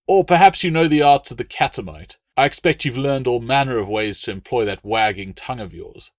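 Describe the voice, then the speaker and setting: A man's voice: medium pitch, brisk, dry recording, natural voice. A man voicing a haughty, sneering Roman legate with a disdainful, cutting delivery in an audiobook. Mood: mocking. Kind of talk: audiobook character dialogue.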